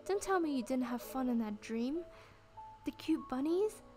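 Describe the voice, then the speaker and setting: Woman doing a bubbly, high-voiced cafe girl. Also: expressive, moderate pace, music in the background. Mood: playful, teasing. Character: bubbly, teasing young woman dream-cafe worker.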